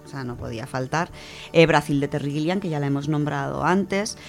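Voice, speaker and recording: A woman from co-hosting a radio show, chatting casually and reading a story, animated, with many fillers. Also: low voice, a little fast, creaky, faint music behind. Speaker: radio co-host presenting a literature section.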